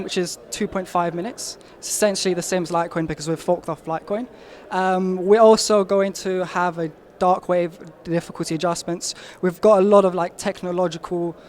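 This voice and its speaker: Man, young adult, high-pitched, insistent, casual interview. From Albania, raised in London. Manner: eager, insistent, emphatic claims. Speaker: marketing lead, interviewee.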